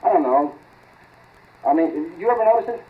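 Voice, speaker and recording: A man's voice: fairly high pitch, lively intonation, normal speed, natural voice. A male actor playing an eager, grumbling laboratory assistant in a folksy 1940s radio drama style. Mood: wondering. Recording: tinny, scratchy 1940s radio recording.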